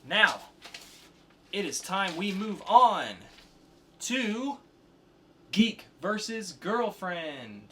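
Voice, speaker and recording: A man's voice: high-pitched, very animated, extremely slow, pauses often, pushed and bright, slight background noise. A man co-hosting a podcast, chatting casually about comics. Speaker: podcast co-host.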